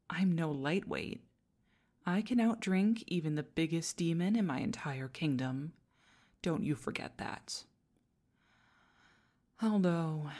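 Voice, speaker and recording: A woman's voice: low voice, a little mellow. A woman playing a haughty, easily flustered demon queen in an ASMR roleplay, speaking in imperious, pompous commands. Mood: boastful, tipsy. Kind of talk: ASMR roleplay addressed to the listener.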